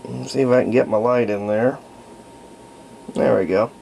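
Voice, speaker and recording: An American man in his fifties narrates hands-on repair work unscripted, thinking aloud in a halting way as he goes. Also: mid-range voice, darkish tone, poor audio. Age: 50s. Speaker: hobbyist radio restorer. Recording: clear background noise.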